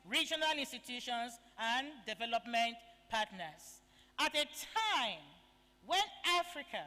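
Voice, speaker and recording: A woman with a high, bright voice hosts a formal conference as master of ceremonies. She reads distinguished guests' credentials in a ceremonious tone. Her handheld mic carries into a large, echoing conference hall. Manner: ceremonious, reads guest bios aloud with honorifics. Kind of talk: formal event introductions.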